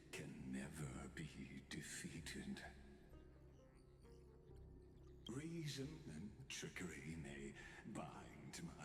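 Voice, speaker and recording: An extremely low-pitched villain speaks from a long, echoing reverb. He is a captive philosopher in a game, grandiose and menacing, and weighs every phrase with slow, theatrical deliberation. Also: exaggerated voice. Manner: theatrical, deliberate, ornate speech with heavy pauses. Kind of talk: dramatic video game villain monologue. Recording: echoey hall with long reverb.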